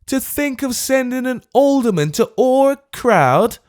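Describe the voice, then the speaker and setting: Shrill old gentleman, choleric, frantic, pleading, very high, audiobook character. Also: animated, unhurried. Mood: pleading, indignant.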